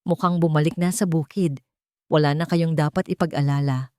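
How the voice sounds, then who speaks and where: A woman's voice: low-pitched, steady tone, normal speed, a little mellow, no room sound, studio quality, lightly acted. A woman narrator with a Filipino accent voicing a lazy, lying hired henchman in a story, dismissive and placating. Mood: evasive.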